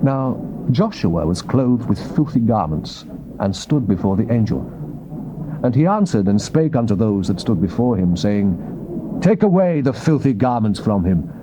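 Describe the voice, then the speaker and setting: A man's voice: lowish voice, very animated, a little slow. A man giving a solemn, declamatory dramatized reading of the King James Bible as scripture narrator. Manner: solemn, declamatory, theatrical delivery. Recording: noisy old cassette tape.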